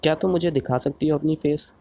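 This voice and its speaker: A man playing an earnest, excitable boy superhero in a cartoon dub, pleading and repeating himself when flustered. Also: fairly high pitch, fast, background noise, lightly acted. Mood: hesitant, pleading.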